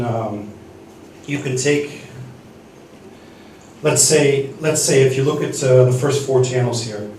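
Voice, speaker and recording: A man teaching a seminar on church sound desks, speaking haltingly with many restarts and fillers. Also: mid-range voice, darkish tone, a little hiss or hum. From United States. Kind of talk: teaching sound-desk basics. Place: church hall. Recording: echoey hall from far off.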